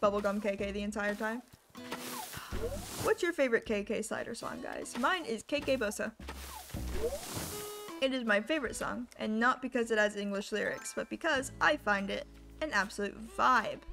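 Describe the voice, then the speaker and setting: A young woman with a rough, hoarse voice chats loosely into a desk mic as she plays, drifting from one thought to the next. She teases herself often and keeps an easygoing, unhurried manner. Music plays clearly beneath her voice. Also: mid-range voice. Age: young adult. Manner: rambling, self-deprecating, chatty. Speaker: live streamer. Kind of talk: casual gaming stream chat. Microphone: desk microphone. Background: music playing.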